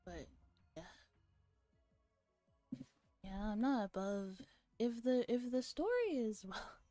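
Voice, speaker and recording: Casting: young female streamer, soft mellow tone, unhurried rambling, giggly and teasing, dry close sound with music behind. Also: on the high side, lively intonation, no echo. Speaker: livestreamer. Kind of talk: casual livestream chat with viewers.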